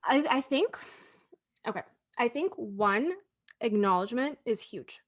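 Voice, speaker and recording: A woman's voice: fairly high pitch, animated. Joking woman podcast co-host. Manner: casual, joking, quick asides. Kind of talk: casual conversation.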